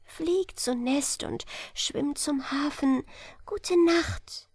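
A woman's voice: sits high, drawn-out words, no echo, voice pushed up. A woman whispering as tiny underground folk singing. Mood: hushed lullaby. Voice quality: soft airy whispered singsong. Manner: lilting lullaby chant. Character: chorus of tiny gray underground dwarf folk.

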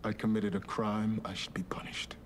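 A man's voice: mid-range voice, fairly quick, lightly acted. An actor playing a grim undercover male cop who talks in short, clipped lines. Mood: quiet confessing. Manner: terse, flat, clipped. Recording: loud background noise.

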